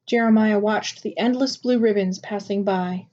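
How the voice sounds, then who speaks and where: A woman's voice: mid-range voice, fairly even intonation, normal speed, darkish tone, slight background noise. Woman narrating a picture book, muffled sound. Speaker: storybook reader.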